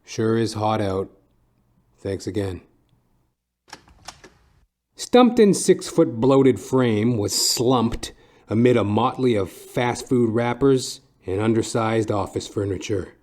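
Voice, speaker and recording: A man playing a sardonic, world-weary private detective, narrating deadpan in hard-boiled style for an audio dramedy. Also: lowish voice, takes it slowly, long pauses. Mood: sarcastic, casual, then scornful narration. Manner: wry, deadpan wisecracking. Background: music behind the voice.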